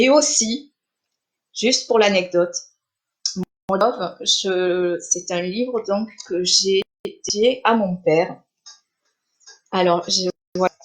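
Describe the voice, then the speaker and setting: A middle-aged woman born in Armenia, an author chatting on a live stream, speaking with many pauses, fillers and restarts. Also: on the low side, poor audio. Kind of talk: casual live-stream interview.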